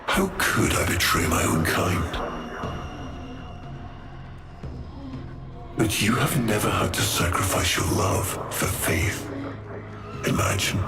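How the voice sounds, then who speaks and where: Low, slow, silky aristocratic villain. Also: male voice, big pitch swings. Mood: grieving menace. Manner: slow, measured, menacing, mocking. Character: silky, cruel aristocratic male villain, coldly grieving creator. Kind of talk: theatrical video game cutscene monologue. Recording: loud music under the voice.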